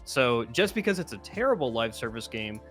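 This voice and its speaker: A man's voice: mid-range voice, sing-song, a little fast, fairly bright tone, faint music behind. Emphatic man in casual gaming discussion. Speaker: gaming YouTuber. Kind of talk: casual video game discussion.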